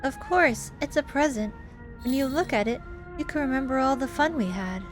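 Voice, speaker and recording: Woman voicing an eager, high-voiced little kid. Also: animated, moderate pace. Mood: sweet, admiring. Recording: music behind the voice.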